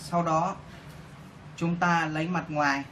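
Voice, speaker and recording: Higher-voiced man, noisy recording. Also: steady tone, a little slow, a bit bright. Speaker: shop demonstrator giving instructions. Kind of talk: step-by-step tutorial narration. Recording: clearly noisy room recording.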